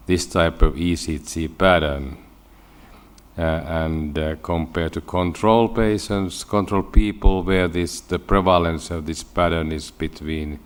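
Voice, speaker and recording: Deep-voiced Finnish man lecturing. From Finland. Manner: halting, with frequent repeated words. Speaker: medical researcher giving a lecture. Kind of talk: scientific conference presentation.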